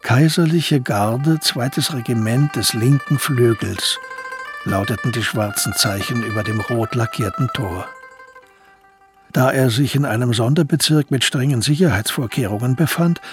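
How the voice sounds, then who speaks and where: A man narrating a scripted German radio drama in a measured, literary storytelling manner. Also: medium pitch, faint music behind.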